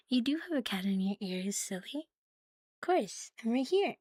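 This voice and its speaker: A woman with a soft, breathy, fairly high voice chats intimately to her ASMR stream. She takes her time, often slipping into whispers, with a playful, cutesy catgirl manner. The sound is close and dry. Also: big pitch swings, measured pace, dry recording. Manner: playful, cutesy catgirl persona, with giggles and purring. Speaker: ASMR livestreamer. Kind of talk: ASMR, intimate chat to live listeners. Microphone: close ASMR microphone.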